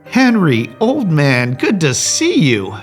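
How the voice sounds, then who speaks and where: A man playing a jovial upper-class Victorian gentleman about town in a period audio drama. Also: fairly high pitch, huge pitch swings, slow pace, soft background music, exaggerated voice.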